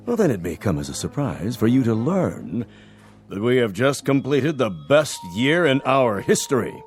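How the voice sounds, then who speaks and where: A pompous, stern office boss. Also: male voice, mid-range voice. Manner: formal, pompous.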